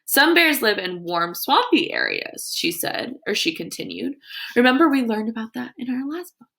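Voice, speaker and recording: A woman teacher with a creaky voice reading aloud to young children in an instructive way, with her own comments and questions. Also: on the high side, wildly swooping pitch, normal speed, sharp tone.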